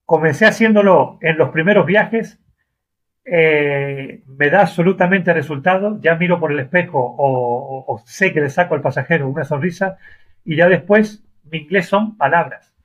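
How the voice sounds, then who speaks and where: Husky man, dull audio. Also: on the high side, normal speed. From Argentina. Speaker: Uber driver being interviewed. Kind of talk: conversational interview.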